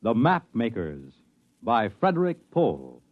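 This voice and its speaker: A man's voice: on the low side, wildly swooping pitch, slow. A man announcing a story in a dramatic, scripted 1950s radio style. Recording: muffled, noisy old radio broadcast.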